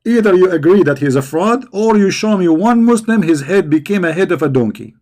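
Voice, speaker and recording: A man's voice: high voice, lively intonation, a little fast, a little mellow. A man hosting a religious debate stream, answering viewers' questions combatively and with mockery, often repeating words as he searches for phrasing. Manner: combative and mocking toward questioners.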